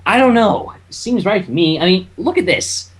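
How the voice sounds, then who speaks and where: A man's voice: high voice, expressive, moderate pace, husky. A man voicing a casual, sarcastic young male dragon sidekick with an offhand manner. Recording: noticeable background noise.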